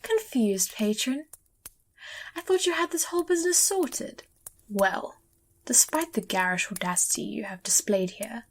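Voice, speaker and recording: An actress playing a haughty, wry otherworldly wife who judges souls, arch and formal in an audio drama. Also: female voice, fairly high pitch.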